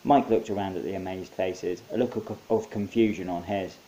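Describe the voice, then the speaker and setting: A man's voice: sits low, fairly even intonation, moderate pace, mellow, rough recording. A man narrating his own short story as an author reading from a script.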